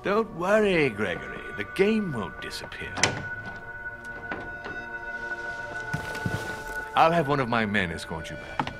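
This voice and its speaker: A man playing a composed, calculating aristocratic host in a video game, speaking with gracious, measured authority. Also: mid-range voice, huge pitch swings, over music. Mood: genial. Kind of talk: dramatic video game voice acting.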